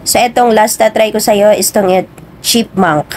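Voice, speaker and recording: A woman's voice: mid-range voice, steady tone, measured pace, a little mellow, a little hiss or hum. A woman hosting a casual how-to tutorial. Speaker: video tutorial host. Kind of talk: casual spoken app tutorial.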